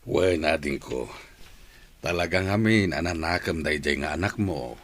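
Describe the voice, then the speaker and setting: Low-voiced supportive older uncle played by a man. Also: unhurried, fairly bright tone, heavily acted. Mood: reassuring. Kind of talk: Ilocano radio drama acting.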